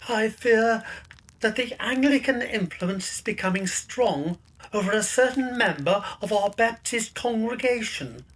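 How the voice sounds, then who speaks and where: Woman doing a fussy anxious minister in a period audio drama, husky voice, lots of polite dithering. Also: fairly high pitch, animated, a little slow, rough recording. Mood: worried, confiding. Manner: hesitant, dithering, polite, murmuring little ums. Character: fussy, anxious, pious Baptist minister, Mister Woodwell.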